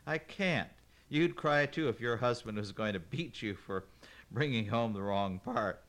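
A man's voice: on the low side, animated, normal speed, a bit bright. A man voicing an elderly grandmother who is dramatic, tearful and manipulative, pleading and bargaining in a storytelling reading. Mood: wailing.

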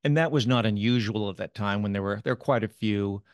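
A man answering interview questions about his late father, speaking in a measured, thoughtful way with occasional pauses. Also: lowish voice, clear, resonant tone, dry recording, clean studio sound. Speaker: interview guest, son of the subject.

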